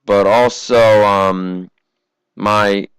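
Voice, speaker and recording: A teenage American man from Massachusetts giving casual, conversational amateur racing commentary, his voice slightly hoarse from a cough. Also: lowish voice, fairly even intonation, extremely slow, no room sound. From Massachusetts, United States. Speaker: race commentator.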